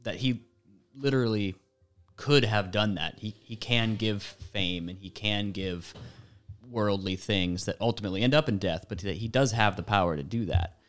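A man taking part in a casual discussion, offering his view in a measured, slightly tentative way. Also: fairly low pitch. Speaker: podcast participant.

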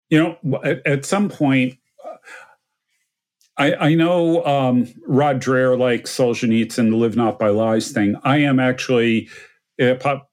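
Measured, explanatory man. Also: medium pitch, a little mellow. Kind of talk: casual discussion.

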